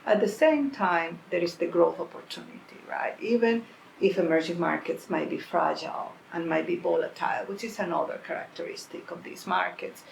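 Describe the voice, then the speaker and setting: Gravelly woman from Colombia. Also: on the low side, slight background noise, low-quality recording. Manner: measured, thoughtful explanations with frequent self-corrections. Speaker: portfolio manager. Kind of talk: interview answers.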